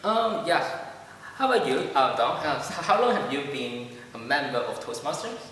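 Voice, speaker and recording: A man's voice: mid-range voice, big pitch swings, normal speed, a bit bright, a little hiss or hum. Guy talking english as a second language, lots of ums and restarts, sounds far away in a big echoey hall. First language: not English. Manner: hesitant, many fillers and restarts. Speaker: club member. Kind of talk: casual interview.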